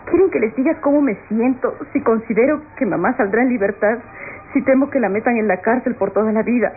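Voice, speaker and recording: An actress with a Latin American accent playing an anguished, gentle, pious young woman in a classic radionovela, speaking with measured, melodramatic sorrow. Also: fairly high pitch, a little hiss or hum, lightly acted. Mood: anguished, reluctant. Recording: tinny old radio drama recording. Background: dramatic music.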